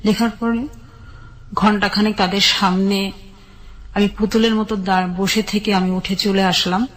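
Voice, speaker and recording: Female interview guest, Bangladeshi accent, mid-pitched voice, measured personal storytelling in short phrases. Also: little pitch movement. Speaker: guest telling her life story.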